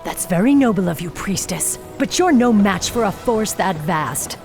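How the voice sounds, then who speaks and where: A woman's voice: mid-range voice, lively intonation, moderate pace, gravelly, music in the background. A woman playing a hard, driven night elf warden in a video game, stern and clipped, with a commanding, impatient manner. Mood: cold, commanding. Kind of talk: dramatic video game voice acting.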